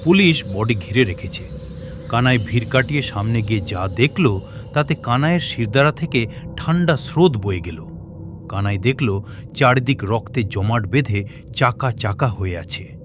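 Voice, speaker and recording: A man narrating a scripted horror story in a dramatic, suspenseful, expressive way. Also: medium pitch, normal speed, background noise. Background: suspense music, cricket sounds.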